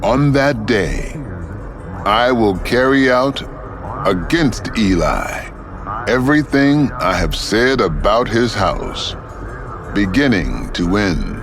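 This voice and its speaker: An actor voicing the Lord, a stern, commanding divine voice that makes grave, measured pronouncements, in an audio Bible drama. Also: male voice, on the low side, expressive. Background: music.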